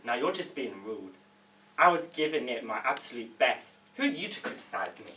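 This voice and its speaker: A man acting an excitable, touchy Spanish porn actor in his late twenties at an amateur table read, with a mock Spanish accent and exaggerated delivery. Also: medium pitch, moderate pace. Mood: offended. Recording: roomy, distant mic with background noise.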